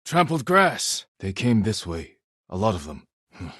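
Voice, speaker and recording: A solemn, determined samurai from a video game. His voice sits very low with a rough husk to it, recorded dry and close. He speaks in short, measured lines with serious, honourable resolve. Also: sing-song, a bit bright, no room sound, exaggerated voice. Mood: shouting, urgent. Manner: terse, measured, serious delivery.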